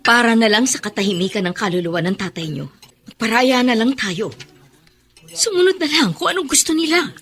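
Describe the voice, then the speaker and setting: A woman's voice: fairly high pitch, lively intonation, brisk, fairly bright tone. A woman playing a meek, grieving middle-aged widow and mother in a melodramatic radio drama. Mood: resigned.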